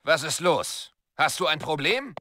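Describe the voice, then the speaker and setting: Stern military commander. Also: male voice, fairly high pitch, sing-song, measured pace, sharp tone, slight background noise, full character voice. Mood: brisk, instructing. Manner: commanding, curt, instructive. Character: stern male military commander briefing a soldier. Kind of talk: video game dialogue, tutorial instruction.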